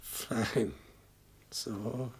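An actor playing an old, matter-of-fact retired hangman in a radio play, with a husky voice and terse remarks. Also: on the low side, very slow, full character voice. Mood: fussing, soothing. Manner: terse, clipped little remarks. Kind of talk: German-language radio play acting.

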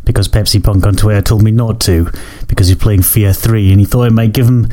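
A man hosting his own podcast, hoarse and croaky from a cold, chatting in a rambling way that keeps correcting itself. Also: on the low side, fairly even intonation, brisk, warm tone. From Scotland. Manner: rambling, self-correcting, apologetic. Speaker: podcast host. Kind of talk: casual solo podcast chat.

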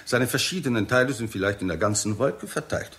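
An actor playing a confident scientist who lectures deliberately and emphatically in a 1960s German radio drama. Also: male voice, mid-range voice, animated, fairly bright tone, natural voice. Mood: assured, emphatic. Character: male scientist, confident, explanatory, theorising.

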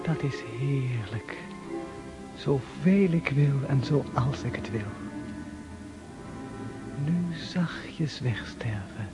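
An actor playing an eager, dreamy, naive young cavalry officer in a radio drama, earnest and boyish. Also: male voice, mid-range voice, animated, extremely slow, pauses often, over loud music, poor audio. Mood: dreamy, rapt.

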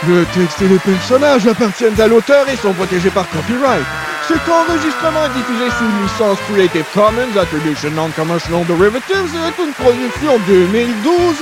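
Very high-pitched radio announcer, male. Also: sing-song, moderate pace, hardly any pauses, over loud music. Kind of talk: scripted radio closing credits.

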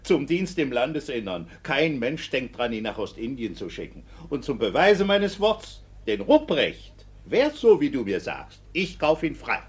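A man's voice: mid-range voice, big pitch swings, unhurried, few pauses, breathy edge. A man in a 1950s German radio play acting a stern, authoritative court councillor, formal and commanding. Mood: astonished, earnest.

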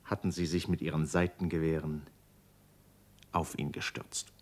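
A man's voice: a bass voice, flat delivery, normal speed. A man narrating a German radio play as a grave literary storyteller. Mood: grave, suspenseful. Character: grave male storyteller of a dramatic novel.

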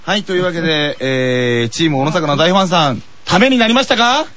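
A man's voice: on the high side, lively intonation, brisk, fairly bright tone. A man hosting a radio show in a casual, chatty style.

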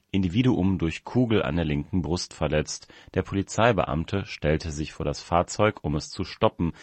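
A man reading a journalistic report aloud in a measured, factual manner. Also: low-pitched, darkish tone, no echo. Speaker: reader of a magazine report. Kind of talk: scripted narration of a journalistic report.

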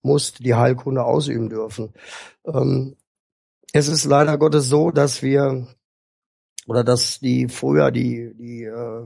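A man speaking as a guest speech therapist, explaining things in a calm, instructive and measured way. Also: medium pitch, gravelly, slightly rough, no echo, studio quality. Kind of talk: explanatory interview talk.

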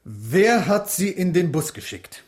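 An actor playing a stern, brusque official questioning an accused person in a radio play, curt and officious. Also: male voice, high-pitched, very animated, takes it slowly, heavily acted.

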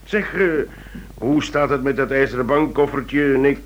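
An actor playing a shrewd, dry, commanding titled police chief in a radio play. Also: male voice, mid-range voice, steady tone, moderate pace, highs cut off, lightly acted. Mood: dryly curious. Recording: radio play with faint noise.

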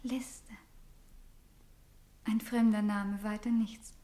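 A woman acting a dreamy, fearful young woman in a radio play, with a hushed, breathless, intense manner. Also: medium pitch, level tone, slow, natural voice. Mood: wondering, doubtful.

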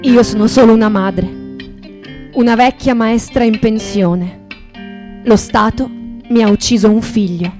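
A woman's voice: on the high side, measured pace, pauses often, natural voice. A woman playing a grieving mother, a retired schoolteacher, in a radio theatre monologue. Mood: grave, grieving. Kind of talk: radio theatre monologue over guitar music. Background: acoustic guitar music.

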